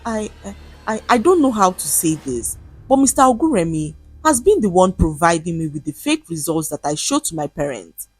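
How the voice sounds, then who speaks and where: A woman's voice: lowish voice, very animated, moderate pace, darkish tone, husky, faint music behind. A woman with a Nigerian accent voicing a nervous, pleading teenage schoolboy who stammers in a story.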